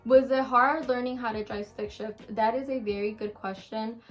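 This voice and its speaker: A woman's voice: on the high side, steady tone, normal speed, faint music behind, rough recording. A woman of about twenty chatting casually to camera, answering viewer questions in a candid, rambling way with many ums. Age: around twenty. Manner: chatty, frequent ums and restarts, open and candid.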